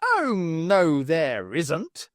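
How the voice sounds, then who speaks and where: A reader voicing a booming, boastful male genie who bellows stubbornly and will not concede. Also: wildly swooping pitch, extremely slow, no room sound, clean studio sound, exaggerated voice. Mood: indignant.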